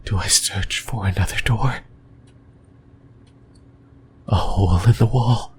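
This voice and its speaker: A man performs a possessive, yearning demon who whispers right up at the listener's ear. The voice is very low and breathy, drifting through halting, intimate musings at a very slow pace. Clear background noise sits under this creepy ASMR roleplay. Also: darkish tone. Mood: uneasy musing. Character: possessive, creepy male sleep paralysis demon, yearning and lonely. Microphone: close microphone.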